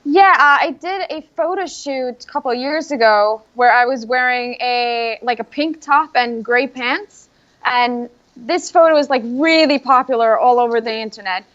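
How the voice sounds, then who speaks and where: Icelandic woman, young adult, native Icelandic speaker, soft-spoken and modest, a bit high, dull lo-fi recording. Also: normal speed. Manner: soft-spoken, modest, giggles between answers. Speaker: bikini bodybuilding competitor. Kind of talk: casual interview answers. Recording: dull lo-fi sound.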